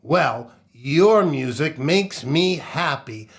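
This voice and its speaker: A man hosting a children's storytime, reading aloud with expressive, drawn-out emphasis on key words. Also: fairly high pitch, slightly rough. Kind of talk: animated picture-book read-aloud for children. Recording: music playing behind the voice. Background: guitar music.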